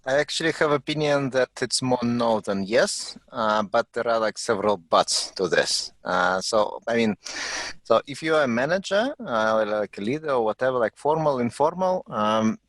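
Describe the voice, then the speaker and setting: A man's voice: mid-range voice, animated, moderate pace, fairly bright tone, no room sound. A man from Russia speaking as a panelist in an online discussion, hesitant with many fillers. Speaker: panelist, development director. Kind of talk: casual panel talk.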